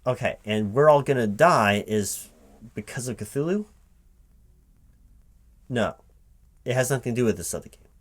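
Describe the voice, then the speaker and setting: A man's voice: medium pitch, animated, moderate pace, long pauses, lightly acted. A man playing a flustered but polite recruiting manager in a one-sided ASMR interview roleplay, hesitating, repeating words and asking puzzled questions. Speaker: job interviewer. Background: computer keyboard typing.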